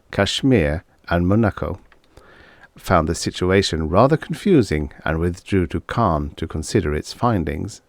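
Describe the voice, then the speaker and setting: A man with a low voice narrates a vintage story as an audiobook. His delivery is measured and formal. The recording is close, with no room sound at all. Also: no echo. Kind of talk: scripted narration of vintage science fiction stories.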